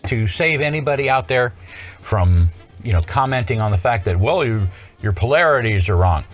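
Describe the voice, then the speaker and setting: A man presenting an unscripted hands-on electronics tutorial in an informal, explanatory way with wry asides. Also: on the low side.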